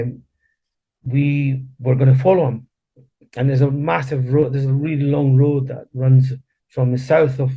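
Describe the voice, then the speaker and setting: A middle-aged man, a native Spanish speaker from Chile, speaks as an interview guest. He tells a personal story in a conversational way, halting and restarting his phrases. The recording is slightly dull and lacks detail. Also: medium pitch.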